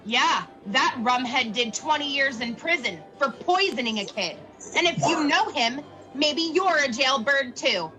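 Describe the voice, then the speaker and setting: A woman's voice: high-pitched, expressive, unhurried, music in the background, poor audio. A woman playing a tough, suspicious, hostile bartender in a radio play, brusque and slangy. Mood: angry, barking.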